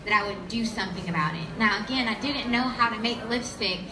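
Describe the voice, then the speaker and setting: A woman's voice: medium pitch, a little fast, crowd noise. Confident woman speaking at an echoey event. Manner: confident, conversational, direct to audience. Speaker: company founder on a panel. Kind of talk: public panel talk. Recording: echoey room with audience.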